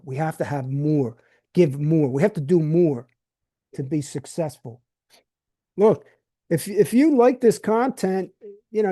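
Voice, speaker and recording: A man's voice: a little high, dark tone. An older man hosting a podcast, talking bluntly in a loose solo monologue and often restarting his words. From New Jersey. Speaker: podcast host. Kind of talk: casual monologue.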